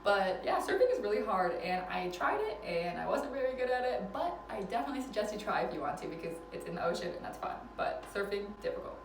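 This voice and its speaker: A woman's voice: medium pitch, very animated, fast talker, hardly any pauses, far from the mic. A woman in her twenties chatting casually in an advice vlog, laughing often and poking fun at herself, recorded indoors at a relative's house. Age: 20s. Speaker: vlogger. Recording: roomy, mic set back, faint background noise.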